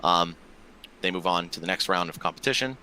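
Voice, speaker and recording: A man's voice: low-pitched, expressive, a little fast, pushed and bright, a little hiss or hum, rough recording. A man in his twenties, a podcast guest chatting casually and talkatively, with loose filler words and the odd swear. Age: 20s. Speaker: podcast guest, esports tournament organizer.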